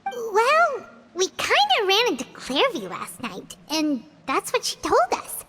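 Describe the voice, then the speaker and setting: A woman's voice: very high-pitched, wildly swooping pitch, slow pace, pushed and bright, full character voice. A woman voicing a small, childlike, chatty fairy companion in a video game, chirpy and easily worried. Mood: uneasy. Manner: refers to self in third person, chirpy. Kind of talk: video game character dialogue.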